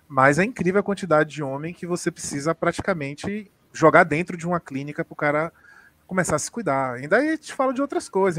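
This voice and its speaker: Animated Bahian man with a higher voice. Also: dry recording. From Salvador, Brazil. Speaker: panelist in a group discussion. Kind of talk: casual opinionated discussion.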